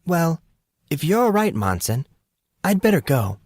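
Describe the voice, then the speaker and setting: A man voicing a polite, inquisitive, reserved teenage girl in audiobook dialogue, with a proper, measured manner. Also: fairly high pitch, very animated, darkish tone, no echo, clean studio sound, pitched above the performer's own voice. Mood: polite, awkward.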